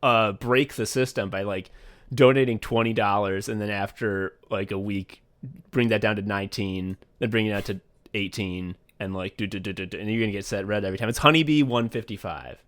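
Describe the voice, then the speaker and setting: A man's voice: on the low side, fairly quick, a bit bright. A young man co-hosting a casual podcast, rambling loosely, laughing often and swearing freely. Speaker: podcast co-host.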